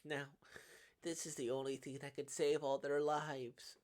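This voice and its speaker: A woman's voice: low-pitched, little pitch movement, measured pace, a little mellow. Woman acting a tragic cursed young woman, pleading. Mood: solemn, resigned. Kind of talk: dramatic visual novel read-aloud.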